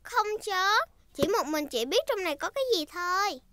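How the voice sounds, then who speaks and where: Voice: extremely high pitch, expressive, normal speed, bright, forceful tone, no room sound, exaggerated voice. A performer voicing a cheerful, bossy little girl piglet, a big sister, in a children's cartoon dub. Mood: bossy, secretive.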